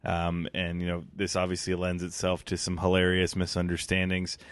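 A man co-hosting a casual film podcast, telling and debating the story in a measured, tongue-in-cheek way. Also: low-pitched, monotone, smooth, no echo. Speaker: podcast co-host.

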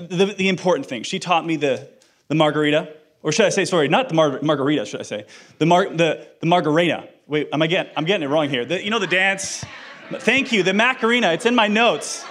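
A man's voice: a little high, a bit bright. A man in his thirties, a pastor, giving a sermon with personal stories, joking and self-deprecating, then earnest. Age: 30s.

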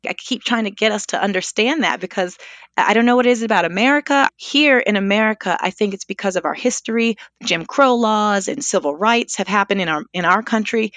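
A woman's voice: mid-range voice, a little fast, a bit bright, no room sound. A woman on a podcast speaking earnestly, drawing on her own research and experience. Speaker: podcast panelist. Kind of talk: podcast discussion.

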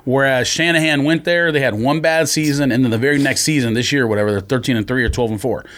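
A man's voice: medium pitch, fast, fairly bright tone. A man co-hosting a casual sports podcast, arguing his points in slangy talk and often jumping in. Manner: argumentative, slangy, frequently interjecting.

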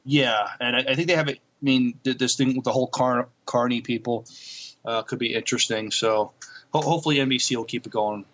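A man co-hosting a podcast, chatting casually about shows in a hesitant, halting way. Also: mid-range voice, steady tone, fairly bright tone. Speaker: podcast co-host. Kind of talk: casual chat reviewing TV shows.

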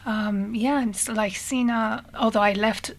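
A woman from Iran speaks as an interview guest, pausing and restarting as she thinks. Her pitch stays flat and even throughout. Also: a little hiss or hum. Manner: thoughtful, halting with fillers and restarts. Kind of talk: radio interview answer.

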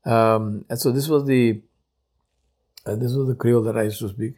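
A man in his seventies from India, whose first language is Urdu, hosting a solo podcast in a thoughtful, unhurried way with many pauses. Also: medium pitch, fairly even intonation, darkish tone, slightly rough. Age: 70s. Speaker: podcast host. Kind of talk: reflective solo podcast monologue.

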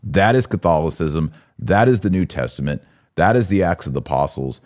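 Guy with a deep voice doing preachy podcast talk, really emphatic, asks rhetorical questions. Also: animated, a little fast, a little mellow. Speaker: podcast host. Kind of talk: religious discussion, preaching commentary.

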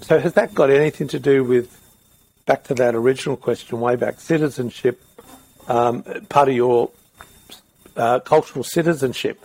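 A man's voice: mid-range voice, steady tone, moderate pace, vocal fry, a little mellow, rough recording. A middle-aged Australian man interviewing in a conversational, reflective way, circling around his questions.

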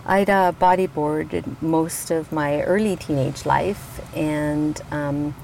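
A woman in her fifties from Colombia, talking about her life as a guest, reflective and warm, with frequent ums and soft laughs. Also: deep voice, slow pace, gravelly, slight background noise, low-quality recording. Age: 50s. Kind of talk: casual conversation. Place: outdoors at a beach.

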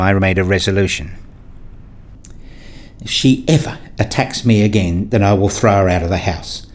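A man's voice: on the low side, natural voice. A man playing a weary, exasperated husband and father in a radio play, narrating and reasoning in a placating way. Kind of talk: radio play dialogue and first-person narration.

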